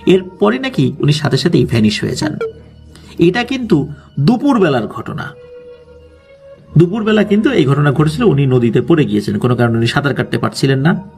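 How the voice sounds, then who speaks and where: A man's voice: on the high side, big pitch swings, brisk, creaky, a little mellow. A man narrates a ghost story informally, in a conversational, suspenseful way with small asides. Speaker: storyteller, horror story host. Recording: music bed under the voice. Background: background music.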